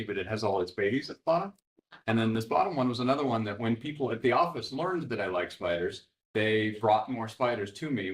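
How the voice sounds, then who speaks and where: A man's voice: lowish voice, slight background noise, rough recording. A man answering interview questions hesitantly, with many ums as he explains. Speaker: game developer being interviewed.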